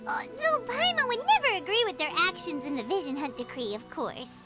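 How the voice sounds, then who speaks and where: A woman voicing a tiny, chatty, excitable childlike fairy in an anime-style video game, with a squeaky voice. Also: fairly quick. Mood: musing. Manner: speaks of herself in third person, exclamatory. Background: prominent music.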